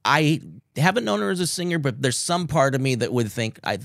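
A young man from Nebraska co-hosts a casual movie chat show, his pitch leaping around as he jokes and restarts his sentences. He sits close to the mic in a dry, dead room with no echo. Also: expressive, brisk, vocal fry, a bit bright. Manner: joking, stumbling restarts, playful. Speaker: co-host.

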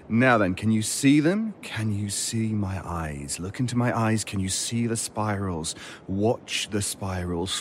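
A man playing a sinister, bossy hypnotist who leads minions, speaking deliberately in an audio horror-comedy skit. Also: medium pitch, a bit bright, slight background noise. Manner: deliberate, commanding.

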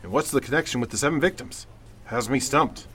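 An actor playing a gruff, frustrated police commissioner in an old-time radio drama, with an emphatic, commanding manner. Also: male voice, medium pitch, fairly quick, a bit bright. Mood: exasperated. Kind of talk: 1940s radio drama acting.

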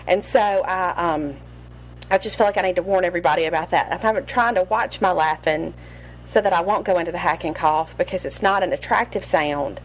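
A woman's voice: fairly low pitch, brisk, a little hiss or hum, low-quality recording. A woman with a Southern American accent, co-hosting a podcast in casual chat, with chest congestion and a drawling, storytelling way of talking. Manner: drawling, storytelling, drops final g's. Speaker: podcast co-host.

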